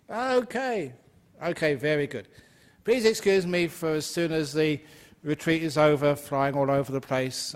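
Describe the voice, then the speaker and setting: An older English-born Buddhist monk giving a chatty, joking Dharma talk, answering questions from the floor and laughing often. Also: male voice, on the high side.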